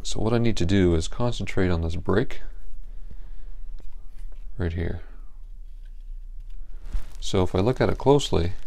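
A man in his forties talks through a wooden furniture repair in a calm, step-by-step way, as if showing each move while he works. His voice sits low and carries a gravelly fry. He is recorded in a home wood shop on a small clip-on mic. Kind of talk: hands-on repair tutorial.

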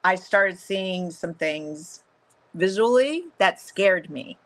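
A middle-aged woman with a deeper voice for a woman, chatting casually as an interview guest over a video call, with many fillers and restarts. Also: expressive, slow pace. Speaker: guest, photographer and songwriter. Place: home room, video call.

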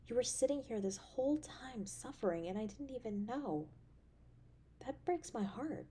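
A young woman voicing a tender, caring girlfriend in an ASMR roleplay, speaking softly and often slipping into a whisper. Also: mid-range voice, slight background noise, lightly acted. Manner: soft, hushed, often whispering.

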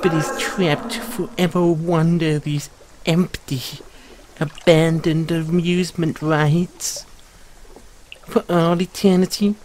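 A man acting a very slow, weepy, tormented mascot. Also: fairly high pitch, flat delivery, a little mellow, a little hiss or hum. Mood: bitter, accusing. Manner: slow, halting, self-pitying, tearful delivery. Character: weepy, bitter, tormented frog mascot haunting an abandoned amusement park. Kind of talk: audio roleplay monologue with mournful sung counting rhyme.

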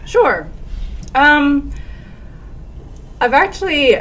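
A woman's voice: high-pitched, sing-song, drawn-out words, fairly bright tone, a little hiss or hum, rough recording. A young woman from Japan, a guest in an interview, talking in a friendly, chatty way with fillers. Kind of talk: casual interview talk. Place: office meeting room, indoors. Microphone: desk microphone.

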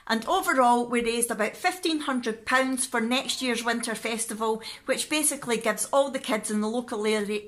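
Female vlogger, thirties, bright and animated, talking casually to a handheld camera. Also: a little high. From Scotland. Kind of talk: casual vlog chat to camera. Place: living room at home. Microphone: phone or camera at arm's length.

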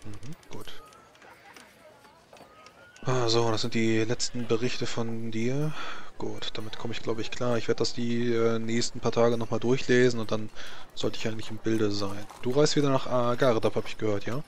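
A man improvising in-character fantasy roleplay talk, halting as he searches for words. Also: mid-range voice, flat delivery, slight background noise.

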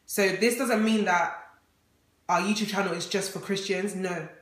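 A woman's voice: medium pitch, steady tone, moderate pace. A talkative woman in her twenties from Ghana, chatting casually to camera as co-host of a vlog, laughing often. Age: 20s. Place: indoor room with plain wall. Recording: roomy echo.

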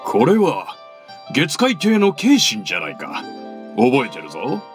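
A shifty video game merchant, gruff and blustering. His pitch leaps all over the place. He is defensive and easily flustered, tripping over his words at a slowish pace. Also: huge pitch swings, faint music behind. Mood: dismissive. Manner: stammering when cornered, gruff. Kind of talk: Japanese video game voice acting.

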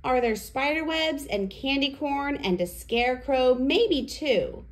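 Animated, slow-paced woman children's presenter. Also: high-pitched. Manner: exaggerated, simple, child-directed delivery. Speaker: children's educational presenter and storyteller. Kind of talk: toddler lesson and picture-book read-aloud.

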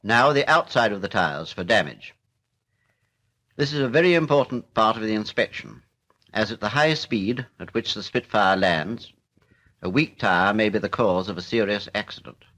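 A British man narrating a 1940s military instructional film in a formal, measured, matter-of-fact way. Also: fairly low pitch, lively intonation, bright, forceful tone, poor audio.

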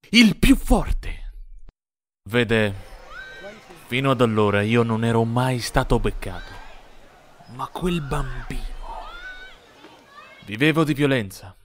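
A man's voice: mid-range voice, natural voice. Man acting as a melodramatic, brooding jailed bully. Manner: theatrical, drawn-out delivery with dramatic pauses. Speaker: actor in a comedy sketch. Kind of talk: mock-dramatic confession to an interviewer.

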